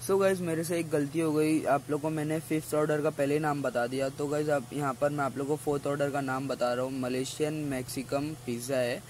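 A man's voice: a little high, fairly even intonation, a little fast, hardly any pauses, a little mellow, slight background noise, rough recording. A teenage man, chatting to his viewers as he reviews a restaurant. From India. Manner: chatty, keeps addressing viewers as guys. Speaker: food vlogger. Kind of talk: casual restaurant review vlog. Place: busy mall restaurant. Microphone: phone camera at arm's length.

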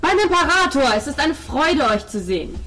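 A woman voicing an effusive, grateful, pious gentleman in a tabletop game, speaking formally. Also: sits high, very animated, moderate pace, faint music behind, pitched above the performer's own voice, exaggerated voice. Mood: delighted.

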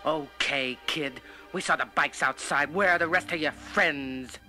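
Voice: medium pitch, unhurried, bright, forceful tone, faint music behind. An actor playing a menacing, bullying older woman gang boss in a storybook read-along, barking harshly like an interrogator. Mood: threatening.

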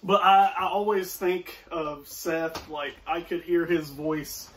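A man's voice: a little high, steady tone, slow, fairly bright tone, slight background noise. A young man in his twenties co-hosting a casual chat, rambling with many fillers and restarts. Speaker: co-host. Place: small room, seated on a couch. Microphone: far camera. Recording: voice from a distance.

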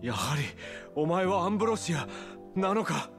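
A man's voice: high-pitched, animated, normal speed, over music. A man playing a stoic, earnest young warrior in a video game, speaking in a terse, serious, restrained way. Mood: moved. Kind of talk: dramatic video game voice acting.

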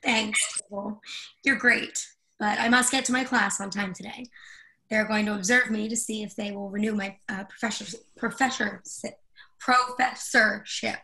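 A woman's voice: medium pitch, steady tone, normal speed, pushed and bright, rough recording. A woman in a play reading, playing a stressed, demanding professor with an emphatic, impatient manner. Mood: hurried, irritated. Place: indoors at home, on a video call.

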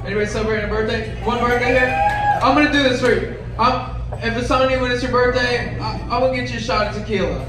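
A man's voice: extremely high pitch, steady tone, fast talker, noisy background. A man in his twenties doing a stand-up set in a comedy club, teasing the audience and making fun of himself. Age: 20s. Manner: self-deprecating, teasing the crowd. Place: comedy club stage before a large audience. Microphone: handheld mic, heard from far in the room. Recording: echoey club room from far off, loud crowd laughter.

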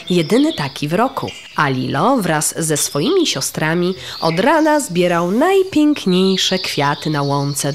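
Female children's story narrator, lowish voice, very animated delivery, some background noise. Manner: expressive storytelling for young children.

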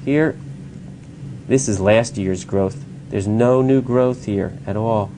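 A man with a fairly low voice talks through his research. His pace is unhurried and his explanations are patient, broken by small filler pauses. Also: warm tone, slight background noise.

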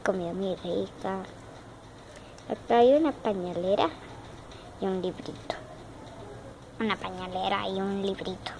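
A woman's voice: medium pitch, unhurried, gravelly, long pauses, noisy background. A young girl from Argentina with an Argentine Spanish accent, chatting casually and repeating herself as she shows off her dollhouse, recorded on a handheld phone indoors. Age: child. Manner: chatty, repeats words, childlike diminutives. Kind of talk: casual show-and-tell narration. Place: home room with wooden floor, indoors. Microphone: phone camera held in hand.

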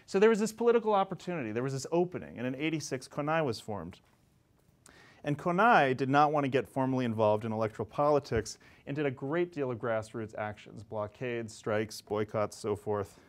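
A man's voice: medium pitch, fairly quick. A man in his forties giving a conversational classroom lecture with asides to students, speaking on a lapel mic in a classroom. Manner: animated, gesturing, conversational with asides. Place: classroom in front of whiteboard.

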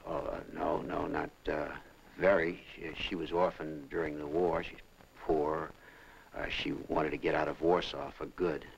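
An American actor playing a troubled, middle-aged consulate employee under questioning, hesitant and defensive, in an old film. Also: male voice, extremely low pitch, little pitch movement, unhurried, creaky, husky, heavily acted, low-quality recording. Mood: uneasy. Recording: old film soundtrack with hiss.

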